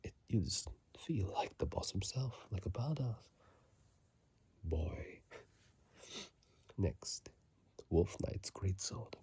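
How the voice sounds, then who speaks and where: Man, hushed ASMR whisper, very low and mellow, casual musing game commentary, halting delivery, close dry phone-mic sound. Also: animated, no room sound. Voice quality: soft, breathy whisper. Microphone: close phone microphone.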